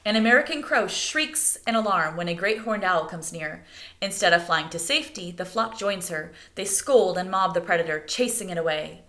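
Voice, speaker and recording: A woman reads a nature picture book aloud as expressive narration meant for children. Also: fairly low pitch, normal speed. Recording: echoey room.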